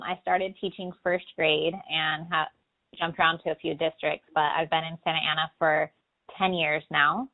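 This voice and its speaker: Casting: American woman, 40s, drama teacher in interview, low pitch, frequent vocal fry, dulled phone audio. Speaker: middle school drama teacher and director. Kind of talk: earnest interview answers. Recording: muffled, band-limited telephone interview.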